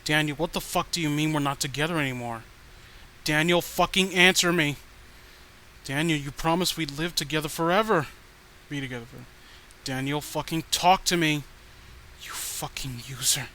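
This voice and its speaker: A man's voice: fairly high pitch, moderate pace, bright, forceful tone. A man voicing an unhinged, possessive girlfriend who is volatile, pleading and cloying, in a horror story reading. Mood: angry, threatening. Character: unhinged clingy murderous girlfriend, possessive, swinging from rage to sweetness. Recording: noticeable background noise.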